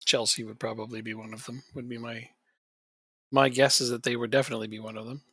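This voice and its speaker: A man's voice: mid-range voice, little pitch movement, fast talker, fairly bright tone, husky, dry recording, studio quality. A man chatting casually on a live stream while playing a football management game, rambling and joking and chuckling often.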